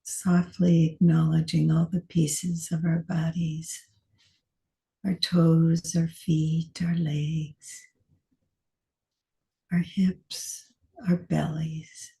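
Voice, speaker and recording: A woman meditation teacher leading a guided meditation in soft, gentle short phrases with long pauses, sometimes trailing into a breathy near-whisper. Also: low-pitched, little pitch movement.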